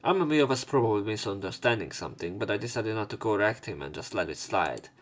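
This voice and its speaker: A man's voice: fairly low pitch, expressive, quick pace, faint music behind. A man reading a visual novel aloud as a let's play host, adding informal, sweary commentary.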